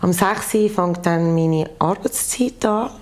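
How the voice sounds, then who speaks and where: A woman in her forties with a Swiss German accent, a postal worker speaking in a measured, matter-of-fact way in a documentary interview. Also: fairly low pitch. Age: 40s.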